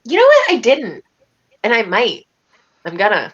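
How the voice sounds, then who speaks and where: A woman's voice: a little high, wildly swooping pitch, measured pace, slightly rough, muffled recording. A woman chatting casually as a tabletop game player, playfully thinking aloud. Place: indoors, online voice call.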